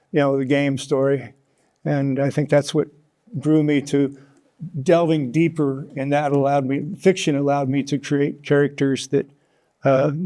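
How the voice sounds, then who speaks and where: An older American man answering as an interview guest, reflective and halting as he tells stories in a conversational way. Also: fairly high pitch, mellow. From United States, Kansas. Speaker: interview guest, author and former sports journalist.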